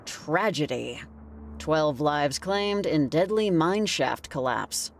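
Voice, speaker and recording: A woman's voice: deep voice, lively intonation, takes it slowly, slight background noise, heavily acted. A woman playing a curious, wry, level-headed heroine in an adventure game, casual and questioning. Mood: reading aloud. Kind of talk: naturalistic game voice acting. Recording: faint music under the voice.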